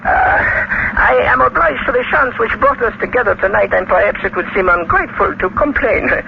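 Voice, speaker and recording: An actor playing a small, genteel murderer in a 1940s radio horror drama, halting and mockingly polite, speaking. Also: male voice, sits high, gravelly, background noise, heavily acted. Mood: politely menacing. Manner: halting, fussily polite, savoring words. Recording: dull old radio recording, loud music.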